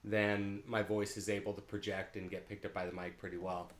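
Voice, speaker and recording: A man's voice: on the low side, little pitch movement, fairly quick. A man hosting a live music stream, chatting easily and rambling with his viewers between songs, picked up by a mic a few feet away. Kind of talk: casual chat between songs. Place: home room in California. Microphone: open-air mic three or four feet away. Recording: roomy echo from a distant open mic.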